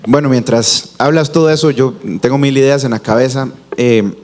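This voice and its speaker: A man's voice: medium pitch, normal speed, darkish tone. A man from Costa Rica, a security company executive, explaining his views on a business panel in a conversational way with many fillers. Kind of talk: panel discussion answer. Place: conference hall. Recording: echoey hall reverb.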